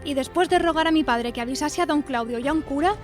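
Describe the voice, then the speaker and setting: Radio play lead: actress as high-pitched, coquettish, self-dramatising young single woman, music underneath. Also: quick pace, lightly acted. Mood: tragic, solemn. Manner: melodramatic, confiding, coquettish. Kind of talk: theatrical first-person radio drama monologue.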